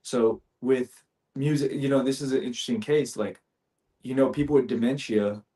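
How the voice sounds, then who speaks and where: A man's voice: medium pitch, fairly even intonation, normal speed, mellow. Man explaining casually, mid-range voice. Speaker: panel participant.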